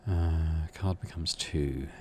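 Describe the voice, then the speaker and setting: A man's voice: rumbling low, steady tone, slow pace, warm tone, a little hiss or hum. A man reading out a story game as narration and adding casual commentary as he plays. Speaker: video game streamer.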